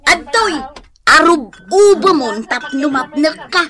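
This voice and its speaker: A woman's voice: high-pitched, expressive, slow pace, a crowd in the background. A woman playing a scolding but kind-hearted village shopkeeper in a radio drama, with a Filipino accent and a chiding, exclamatory manner. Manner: chiding, exclamatory, motherly advice-giving. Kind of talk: Ilocano radio drama acting.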